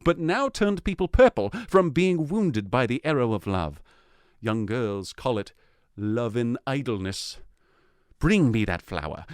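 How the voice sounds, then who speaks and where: A scheming fairy king played by a man in an audio play. He commands with grand, dramatic delivery and wide swings of pitch. His voice sits in the middle of the male range, in a dry recording. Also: dry recording. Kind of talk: theatrical audio drama acting.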